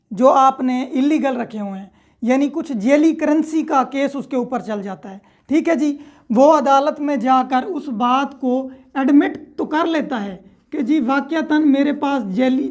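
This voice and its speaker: A woman's voice: high-pitched, a little mellow. A woman with a Pakistani accent giving an explanatory law lecture, repeating and rephrasing her points in a didactic way. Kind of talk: explanatory lecture mixing Urdu and English legal terms.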